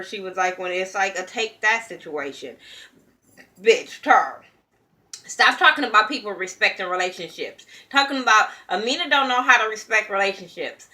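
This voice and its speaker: A young woman from California talks straight to her viewers in a blunt, heated rant full of cursing. Her voice has a bright, sharp edge and sits at an ordinary middle pitch for a woman. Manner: blunt, profane, heated ranting. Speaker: reality TV reviewer. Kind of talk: casual opinion commentary.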